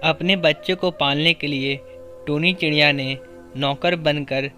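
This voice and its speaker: A man's voice: fairly high pitch, steady tone, unhurried, bright, forceful tone, faint music behind. A man narrating a scripted children's story as the narrator of a cartoon.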